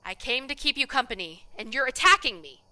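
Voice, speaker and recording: An actress playing an exasperated, guarded restaurant manager in a radio play, speaking in a curt, defensive way. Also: female voice, high-pitched, sing-song, brisk, bright, forceful tone, exaggerated voice, low-quality recording.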